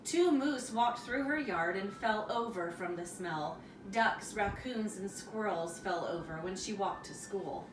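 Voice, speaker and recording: A woman reading a children's story aloud in an expressive, rhythmic storytelling manner. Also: medium pitch, unhurried, hardly any pauses, noisy background. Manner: expressive, rhythmic with repetition and sound words. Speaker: storybook reader. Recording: roomy, distant mic, music behind. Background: music.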